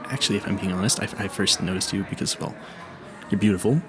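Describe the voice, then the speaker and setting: A man's voice: deep voice, quick pace, music in the background, natural voice. A man playing an awkward, smitten young vampire hunter in a romantic audio roleplay, stammering nervously and apologizing politely. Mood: shyly smitten.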